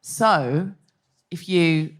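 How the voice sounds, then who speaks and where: A woman's voice: fairly low pitch, drawn-out words. A woman hosting a live comedy podcast on stage, articulate and playful, setting up the show's theme. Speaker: podcast host. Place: live theatre stage before an audience.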